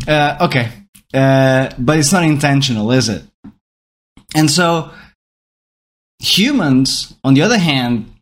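A man whose first language is Portuguese gives a reflective talk about how people learn. He thinks aloud as he goes, restarting phrases and checking in with rhetorical questions. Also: medium pitch.

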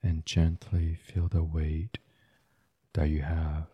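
A man gently guiding a sleep meditation in a soft, soothing, unhurried ASMR style. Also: extremely low pitch, completely flat delivery, slightly rough, no room sound, lo-fi sound. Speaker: guided meditation host.

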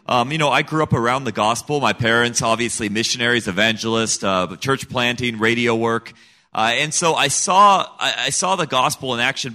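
A man's voice: medium pitch, pushed and bright. A middle-aged man raised in Senegal, giving an earnest, emphatic personal testimony as an interview guest. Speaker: guest, missionary kid.

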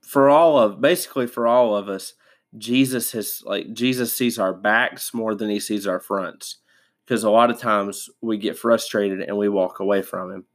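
Stuffed-up guy doing a bible study podcast, lowish voice, lots of ums. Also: normal speed. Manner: rambling, many fillers and restarts. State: congested from a sinus infection. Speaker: podcast host. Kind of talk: casual devotional teaching.

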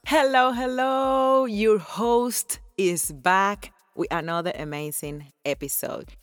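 A woman's voice: mid-range voice, steady tone, slow pace, dry recording, studio quality, faint music behind. A woman in her forties from Cuba, speaking, hosting her own podcast in an effusive, earnest way and laughing often. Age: 40s. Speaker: podcast host. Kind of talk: personal podcast monologue.

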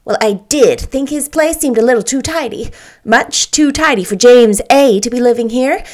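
A woman reading an audiobook, voicing a brisk, chirpy little woman near fifty who speaks emphatically. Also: fairly high pitch, darkish tone, close to an everyday voice.

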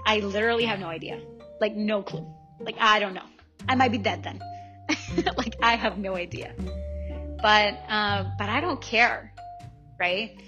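A woman's voice: mid-range voice, measured pace, long pauses, pushed and bright, highs cut off. A young woman from El Salvador talking casually and reflectively to her listeners, informal and self-questioning, often checking in as she goes. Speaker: vlogger. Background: music.

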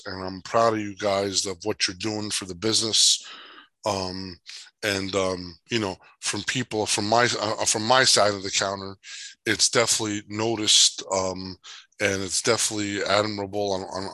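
A man's voice: on the low side, sharp tone, dry recording. A man hosting a casual interview, rambling through long analogies with many fillers and restarts. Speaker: podcast host.

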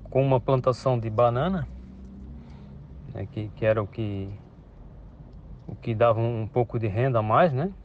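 A man's voice: medium pitch, slight background noise. A man, a farmer telling his story casually with hesitations and restarts. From Santa Catarina, Brazil. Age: 50s. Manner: hesitant, many restarts and tag questions. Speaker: farmer interviewee. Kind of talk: casual spoken account. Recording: dull lo-fi radio interview sound.

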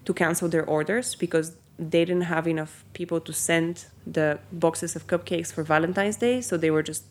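A woman's voice: lowish voice, steady tone, normal speed. A young woman from Bulgaria, a company founder, chatting in an interview with many ums and likes. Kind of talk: casual interview.

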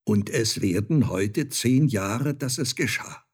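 A man's voice: lowish voice, animated, takes it slowly, husky, heavily acted. A man voicing a kindly older woodcutter who speaks earnestly and reprovingly.

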